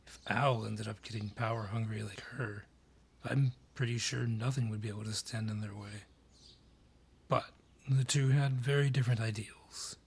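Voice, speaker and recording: A man playing a grudging, sardonic dryad in a fantasy audio roleplay, with a gruff, curt, world-weary manner and a husky, breathy voice. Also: on the low side, fairly even intonation, normal speed. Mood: stern, guarded.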